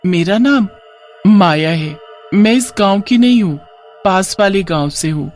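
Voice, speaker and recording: A man's voice: high-pitched, animated, a little slow, darkish tone, soft background music. A man voicing a seductive, menacing veiled bride witch for a story, coy and possessive in manner. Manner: coy, then possessive and taunting.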